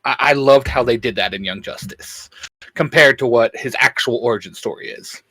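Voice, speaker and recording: A man co-hosting a geek show in casual fan chat, enthusiastic and halting, often repeating his words. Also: mid-range voice, pushed and bright, no echo, low-quality recording. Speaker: co-host of a geek culture show.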